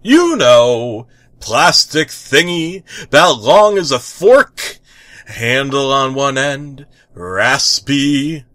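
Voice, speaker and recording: A man's voice: medium pitch, expressive, very slow, bright, forceful tone, dry recording, pitched above the performer's own voice, full character voice. A man voicing an enthusiastic, dim-witted superhero who makes grandiose proclamations in a comic story reading. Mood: excited, cheerful.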